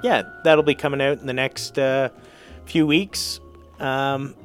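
Hesitant, soft-spoken man. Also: medium pitch, fairly even intonation, slow, soft background music. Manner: hesitant, soft-spoken, brief answers with fillers. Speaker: radio show guest, new host. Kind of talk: casual radio interview. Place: local community radio studio.

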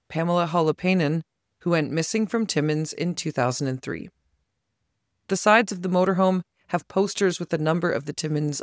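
A news reporter reading a scripted report and putting measured questions to a guest. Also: mid-range voice, no echo, clean studio sound. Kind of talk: scripted news narration and interviewing.

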